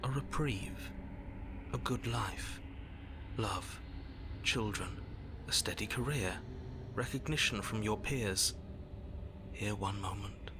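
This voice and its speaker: A man playing a grave, cruel narrator in a horror game, speaking slowly and deliberately in an ominous manner. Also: low voice, background noise. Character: grave, cruel male narrator pronouncing bleak doom.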